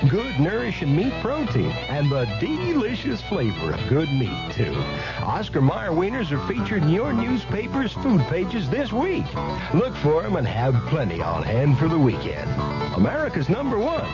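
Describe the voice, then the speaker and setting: A man's voice: sits high, huge pitch swings, moderate pace. A man announcing a scripted radio advertisement in an upbeat, promotional manner. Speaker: commercial announcer. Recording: muffled old radio broadcast. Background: loud guitar music.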